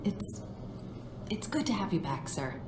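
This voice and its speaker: A woman's voice: lowish voice, very animated, unhurried, background noise. An actress playing a polite, chatty, slightly wry female office receptionist in a video game. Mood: helpful, wry. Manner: courteous, helpful, slightly wry. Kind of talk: video game cutscene acting. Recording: echoey lobby sound, clear background ambience.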